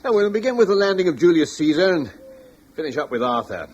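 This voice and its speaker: An actor playing a calm, commanding middle-aged husband and guard leader, warmly reassuring, in a radio drama. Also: male voice, on the high side, quick pace, dull audio.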